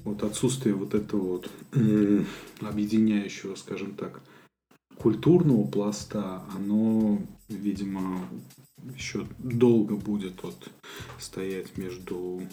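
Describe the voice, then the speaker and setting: A man from Russia co-hosting a podcast, talking in a thoughtful, halting way with many fillers. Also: lowish voice, fairly even intonation, dark tone, slight background noise. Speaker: podcast co-host. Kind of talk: casual conversation.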